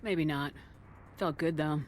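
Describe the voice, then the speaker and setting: An actress playing a tough, composed military commander in a space video game, terse, dry and in command. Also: female voice, deep voice, slow pace, faint music behind. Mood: cool, commanding. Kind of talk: video game cutscene acting.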